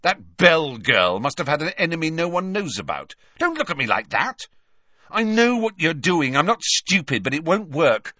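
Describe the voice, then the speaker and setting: A man voicing a sulky, defiant teenage boy who talks back with a raised, high voice. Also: big pitch swings, moderate pace, bright, forceful tone, no echo, studio quality, heavily acted.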